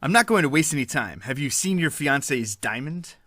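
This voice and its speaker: A man giving dry, deadpan hard-boiled detective narration in a comic noir parody. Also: mid-range voice, fairly quick, no echo.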